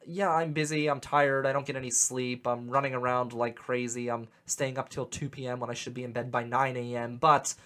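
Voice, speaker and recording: A young man with a Western Pennsylvania accent hosting a casual radio talk show, animated and opinionated, often repeating words as he gets going. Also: medium pitch, a little fast, few pauses. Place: radio studio. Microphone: studio microphone.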